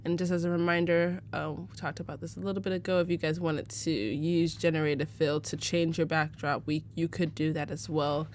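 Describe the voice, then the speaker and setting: A young woman from Arizona walks viewers through a photo edit on a live stream. She talks casually with lots of "like" and "um", often laughing. Her voice sits slightly low, with a faint hiss behind it. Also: flat delivery, brisk, few pauses. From United States (Arizona). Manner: casual, chatty, fillers like "like" and "um", laughs easily. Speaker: livestream presenter teaching photo editing. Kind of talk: live software tutorial.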